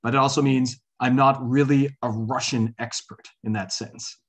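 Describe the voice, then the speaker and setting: A man's voice: medium pitch, fairly even intonation, moderate pace. A middle-aged man giving an academic presentation over a video call, with many fillers and restarts. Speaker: guest speaker, associate professor. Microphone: video call webcam.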